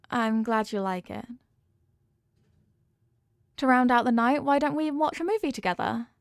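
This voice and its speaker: A sweet headless horsewoman played by a woman speaks in a fairly high voice, close and dry. She is a devoted, giddy girlfriend in an intimate ASMR audio roleplay. Her talk is playful and full of affection. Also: lively intonation, takes it slowly, pauses often, dry recording. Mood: dreamy, loving.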